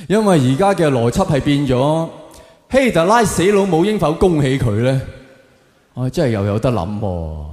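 A male stand-up comedian with a Hong Kong Cantonese accent and a slightly high voice. He delivers his monologue sardonically and theatrically, prodding with rhetorical questions. The sound is echoey, with long hall reverb. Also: a little mellow.

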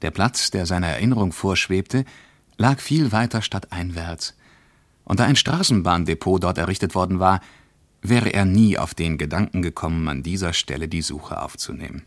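Casting: a reflective travelling diarist in a radio play, male, very low register, measured literary reading, dry studio sound. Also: no echo. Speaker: narrator reading a travel diary. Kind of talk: scripted radio narration.

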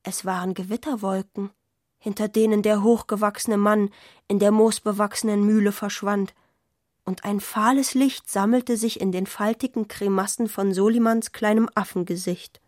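A woman's voice: medium pitch, steady tone, moderate pace, a little mellow, no room sound, studio quality. A woman reading scripted literary narration in a radio play.

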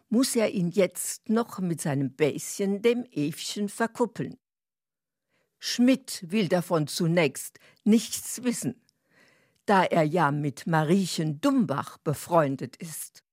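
Slow, lowish-voiced woman narrator. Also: no room sound, clean studio sound. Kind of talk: scripted storytelling narration.

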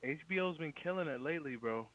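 A man's voice: a little high, animated, fast, lo-fi sound, crowd noise. A man chatting casually about TV shows in slang, repeating words in bursts.

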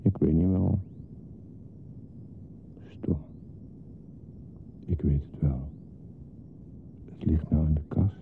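A man's voice: steady tone, takes it slowly, creaky, pauses often, heavily acted. An actor playing a lonely, disturbed man who longs to be a baby, in a hesitant, confiding, often near-whispered radio play monologue. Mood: wistful, resigned. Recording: muffled lo-fi recording with hiss and rumble.

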